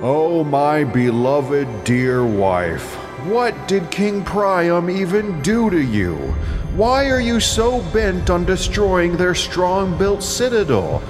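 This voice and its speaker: A man's voice: high-pitched, very animated, slow pace, pitched above the performer's own voice, full character voice. A man playing a mighty, imperious king of gods and exasperated husband, speaking in a grand declamatory way in an epic audio drama. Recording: long cavernous reverb, loud music behind.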